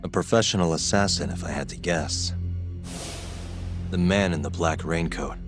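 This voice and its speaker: An actor playing a composed, laid-back detective in an English-dubbed video game cutscene, speaking in a casual, matter-of-fact way. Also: male voice, deep voice, normal speed, close to an everyday voice. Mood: matter-of-fact, musing. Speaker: detective character in a crime drama game. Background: background music.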